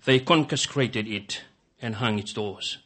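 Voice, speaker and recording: A man whose first language is Fijian preaches in English, in short phrases that leave room for an interpreter. His voice sits a little low, and his delivery is broken up by fillers. He rises to loud exclamations of praise. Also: slow pace. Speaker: guest preacher. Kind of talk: sermon in English with live interpretation.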